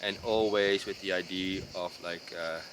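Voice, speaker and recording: A man's voice: sits low, fairly even intonation, normal speed, background noise. A man from the Netherlands with a Dutch accent, explaining his design approach in a casual, hesitant monologue full of fillers and restarts. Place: outdoors in rural mountains.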